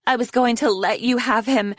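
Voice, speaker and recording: A furious female ice dragon, put on by a woman narrator in a fantasy storybook. She is accusing and indignant, shouting high with very little room sound. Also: steady tone, normal speed, no echo, studio quality, natural voice. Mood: bitter anger.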